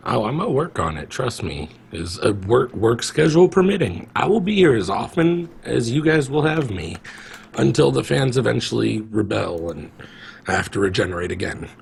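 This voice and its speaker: A man co-hosting a podcast with a deep, calm voice, chatting casually. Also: moderate pace, gravelly, a little hiss or hum. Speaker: podcast co-host. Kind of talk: casual chat.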